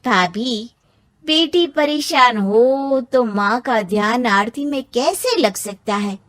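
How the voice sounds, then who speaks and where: A woman voicing a confident young sister-in-law with a needling manner in an animated moral story. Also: on the high side, slow, no echo. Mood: pointed.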